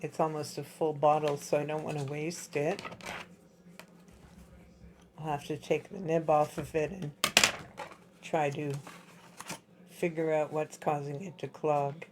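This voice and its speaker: A woman with a slightly hoarse, husky voice, chatting through a step-by-step craft tutorial at home. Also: deep voice, flat delivery, a little slow, long pauses, background noise. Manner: chatty, explains while working with her hands. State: slightly hoarse from dry air.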